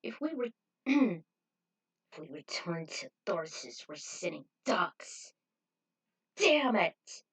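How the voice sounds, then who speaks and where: A woman voicing a belligerent, hot-headed male bounty hunter in a story reading, gruff and drawn-out. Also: on the low side, big pitch swings, far from the mic, voice pushed down, full character voice. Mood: frustrated.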